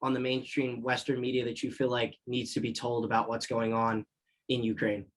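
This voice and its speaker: A young man, a student journalist, asking an interview question in a measured, curious way. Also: medium pitch, completely flat delivery.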